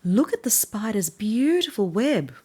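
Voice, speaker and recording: A woman with an Australian accent voicing a friendly, proud young male storybook character, speaking slowly and deliberately for a children's read-aloud. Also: medium pitch, very animated, dark tone, slightly rough. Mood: proud, happy.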